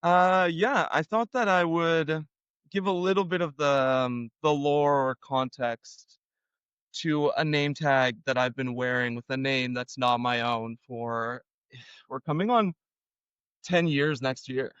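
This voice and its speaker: A young man from Argentina, a podcast guest telling a story in a relaxed, self-deprecating way with lots of fillers. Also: mid-range voice, slow pace, smooth, no echo, muffled recording. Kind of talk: casual storytelling.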